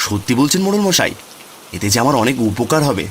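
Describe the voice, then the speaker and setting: A man's voice: mid-range voice, very animated, fast talker, natural voice. An actor playing a humble, grateful poor village father in a cartoon fairy tale. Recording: rain noise behind.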